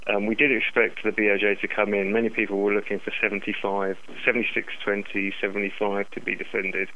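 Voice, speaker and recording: A man giving market commentary as an analyst in a phone interview, halting and repeating words, over a dull telephone line. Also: lowish voice, flat delivery, sharp tone. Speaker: currency analyst, interview guest.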